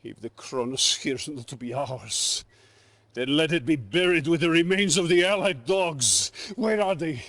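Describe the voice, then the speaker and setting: An actor playing a menacing, imperious Soviet dictator with a Russian accent and a commanding, theatrical delivery in a video game cutscene. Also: male voice, on the high side, expressive, normal speed, a bit bright.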